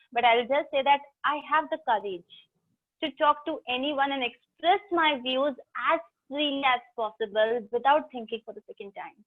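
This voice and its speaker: High-voiced Indian teacher over tinny call audio. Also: female voice. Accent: Indian English. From South India. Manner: chatty, teasing, talks straight to students. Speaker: English teacher. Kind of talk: online class lecture. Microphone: video call.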